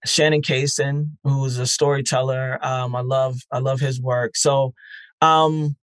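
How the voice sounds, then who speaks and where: A man with a medium-pitched voice talks as a podcast guest in an earnest, reflective way. He searches for his words and fills the gaps with "um." The sound is dry and close to the mic. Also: level tone, unhurried, clean tone, no echo. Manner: thoughtful, earnest, many "um"s and restarts. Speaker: podcast festival founder, guest. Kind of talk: casual interview talk.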